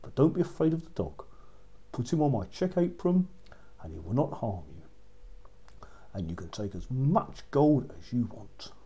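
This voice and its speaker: Guy putting on a scheming old witch lady for a fairy tale, bossy n pushy, mellow tone. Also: mid-range voice, very animated, normal speed, pauses often, breathy edge. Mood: instructing.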